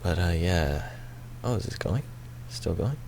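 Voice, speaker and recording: Deep-voiced young man, casual game commentary. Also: expressive, unhurried, creaky, over music. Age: young adult. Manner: informal, rambling. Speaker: gaming video host. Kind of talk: casual let's play commentary.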